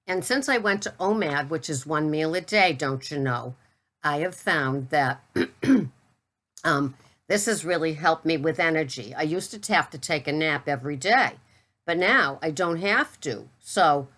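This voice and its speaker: A woman's voice: sits low, animated, measured pace, a bit bright, heavily acted. An older woman putting on a playful Boston accent while chatting through her everyday products. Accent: Boston, put on for fun. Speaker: keto vlogger.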